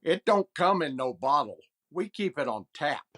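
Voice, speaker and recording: Blunt deadpan store clerk man, working class, highish voice, old radio comedy bit. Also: moderate pace, exaggerated voice. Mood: blunt, matter-of-fact.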